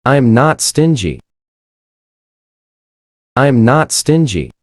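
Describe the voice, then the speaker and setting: Very slow, careful pronunciation model. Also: mid-range voice, sing-song, a little mellow, no echo, clean studio sound. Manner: says each short phrase twice, carefully. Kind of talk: slow phrase drills.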